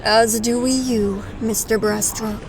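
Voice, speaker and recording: A woman's voice: a little high, fairly even intonation, slow pace, slight background noise, rough recording. An actress playing a composed, gracious woman traveler with a formal, polite way of talking in a fantasy audio drama.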